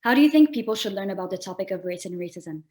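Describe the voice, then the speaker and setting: A woman's voice: mid-range voice, animated, quick pace, dark tone. A young woman, a first-year college student, politely reading formal prepared remarks and interview questions. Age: young adult.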